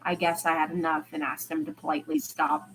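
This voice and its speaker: Woman reader as gentle young male academic, friendly, polite, hesitant, storybook dialogue, faint noise behind. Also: deep voice, flat delivery, a little fast, low-quality recording. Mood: bashful. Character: soft-spoken, friendly, bashful young male student. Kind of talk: amateur fiction character dialogue.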